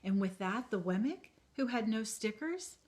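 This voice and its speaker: A woman teacher reading a children's story aloud in a calm, expressive way. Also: a little high, moderate pace.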